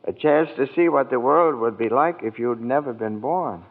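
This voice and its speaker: An actor playing a kindly, earnest old angel who gets flustered, in 1940s radio drama style. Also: male voice, mid-range voice, normal speed, big-room sound, close to an everyday voice. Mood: gentle, grave. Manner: earnest, deferential, easily flustered. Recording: tinny old radio broadcast, music behind.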